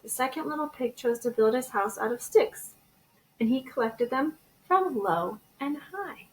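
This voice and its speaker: A woman teacher reading a children's storybook aloud in an expressive way. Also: high-pitched, moderate pace, poor audio. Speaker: elementary school teacher.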